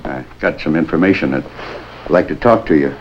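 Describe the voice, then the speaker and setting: Very low-voiced, wheedling American desk clerk. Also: male voice, lively intonation, brisk. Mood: earnest, hesitant. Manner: hedging, roundabout, ingratiating. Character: wheedling, money-minded hotel desk clerk informant. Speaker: hotel desk clerk character. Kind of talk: 1950s American police drama acting. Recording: dull, noisy 1950s film soundtrack.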